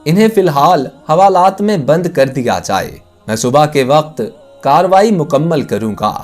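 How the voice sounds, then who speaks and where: A man's voice: a little high, expressive, moderate pace, soft background music, natural voice. Man voicing a stern, accusing magistrate. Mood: authoritative.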